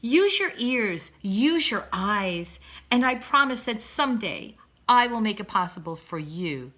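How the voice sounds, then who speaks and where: A woman's voice: medium pitch, big pitch swings, a little slow, fairly bright tone. A woman voicing a wordy father swan from a children's storybook, kindly and encouraging, speaking in a formal, emphatic, oratorical way. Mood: earnest, lecturing. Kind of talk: children's storybook read-aloud.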